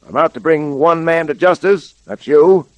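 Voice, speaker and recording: A determined lawman hero played by a man from a 1930s radio serial. He speaks in firm, measured declarations with a fairly high male voice. The vintage recording is very dry. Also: darkish tone, no echo, muffled recording, lightly acted. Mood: firm, grave. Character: principled, determined police detective hero. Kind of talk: 1930s radio drama acting.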